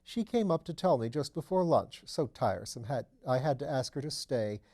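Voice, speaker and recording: Older aristocratic mother for audiobook, played by a man: chatty, refined, kindly, soft mellow tone. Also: mid-range voice, expressive, moderate pace. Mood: gossipy, genteel. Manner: gossipy, genteel, fussing kindly. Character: genteel dowager duchess, older mother, chatty. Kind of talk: audiobook character dialogue.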